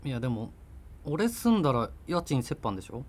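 A man's voice: mid-range voice, sing-song, a little fast, husky. An actor playing an evasive, argumentative boyfriend in his thirties in naturalistic radio drama dialogue, rambling as he justifies himself. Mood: hesitant, reluctant. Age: 30s. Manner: defensive, rambling self-justification. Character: evasive, argumentative thirty-year-old freelancer boyfriend living with parents.

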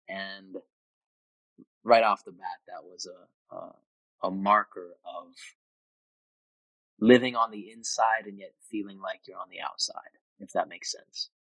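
Low-voiced reflective young man. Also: steady tone, a little slow, long pauses, a bit bright, dry recording, rough recording. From Austria. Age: 20s. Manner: reflective, thoughtful with frequent restarts. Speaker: interview guest. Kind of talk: casual conversation.

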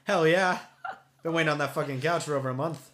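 A man's voice: on the high side, fast, fairly bright tone, slight background noise. Stuffy-nosed laid-back man in a roomy space. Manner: laid-back, swears and laughs often. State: stuffy nose. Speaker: podcast co-host. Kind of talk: casual chat.

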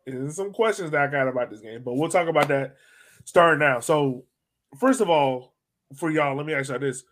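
A man's voice: fairly high pitch, a little fast, a bit bright. A man hosting a soccer fan podcast in casual chat, informal and slangy.

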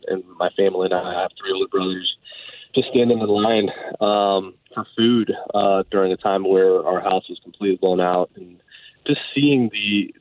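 A man's voice: sits low, no room sound. A man in his thirties, a baseball manager, talking earnestly on a radio interview with lots of fillers and restarts. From United States, Dominican background. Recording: tinny phone line.

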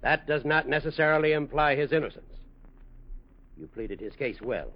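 An actor playing a stern, disapproving male judge in a 1940s radio drama, formal, measured and admonishing. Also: fairly high pitch, animated, lightly acted. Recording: dull old radio recording.

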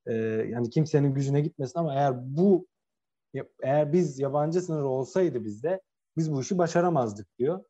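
A slightly higher-pitched man with a soft, mellow tone co-hosts a football talk show. He argues his opinions in a casual, emphatic way, often restating his points. The sound is somewhat muffled and lo-fi. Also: moderate pace. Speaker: football podcast co-host. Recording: dull, lo-fi sound.

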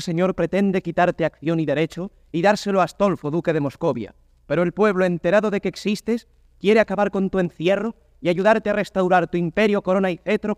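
Loyal rebel soldier played by a man, high dry voice, fervent and proclamatory classical verse. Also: dry recording, lightly acted. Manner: heraldic, urgent, emphatic. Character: fervent rebel soldier rallying people to their prince. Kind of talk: classical Spanish verse drama, declaimed.

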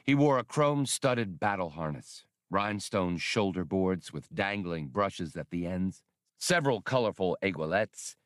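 A man's voice: low voice, lively intonation, measured pace, no room sound, studio quality. A man reading adventure fiction as an audiobook narrator, in a scripted, dramatic storytelling style.

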